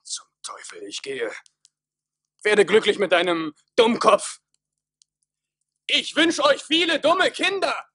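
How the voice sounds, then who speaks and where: An actor playing a scornful, hot-tempered skeptic in a radio play, biting and theatrical. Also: male voice, high-pitched, huge pitch swings, slow, pauses often, bright, forceful tone, breathy edge, heavily acted. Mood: bitter, scornful. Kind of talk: German radio play acting.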